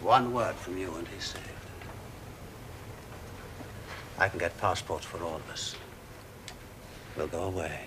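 A man's voice: low-pitched, moderate pace, background noise, close to an everyday voice. A man playing a dissolute, obsessed suitor in a radio drama, pleading and passionate. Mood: coaxing. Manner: pleading, then passionately declaring.

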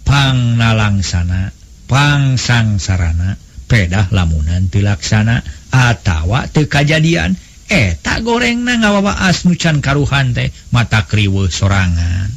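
A man voicing a calm, patient elder brother, a wise nobleman with a Sundanese accent, soothing and advising in a storybook tale. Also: mid-range voice, measured pace.

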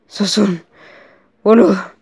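A woman's voice: mid-range voice, fairly even intonation, slow, vocal fry, very noisy. A woman with an Argentine accent voicing a hot-tempered, menacing armored fish-woman warrior in a parody cartoon, aggressive and full of swearing. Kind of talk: fan parody cartoon voice acting.

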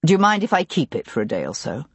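Woman doing a measured gentleman investigator. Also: low voice, sing-song, vocal fry, slightly rough, dry recording, exaggerated voice. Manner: measured, polite questioning. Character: calm young gentleman investigator, a lord.

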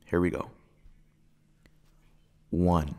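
A man guiding a breathing meditation in a soothing, gentle, measured way with pauses between phrases. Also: deep voice, flat delivery, clear, resonant tone. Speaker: meditation guide.

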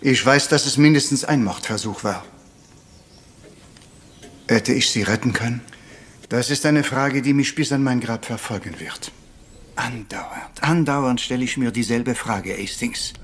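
An actor playing a proud, brooding middle-aged Belgian detective in a retro radio play, formal and courteous, with French phrases mixed in. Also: male voice, mid-range voice, unhurried, long pauses, natural voice.

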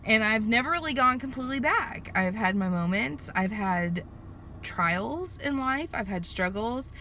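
A woman's voice: on the high side, lively intonation, measured pace, pushed and bright. Woman rambling on a vlog from her parked car, phone held out, faint car hum behind. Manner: chatty and rambling, with many fillers and restarts. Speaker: vlogger. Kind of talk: casual chat to camera. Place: parked car in a sunny lot. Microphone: handheld phone at arm's length.

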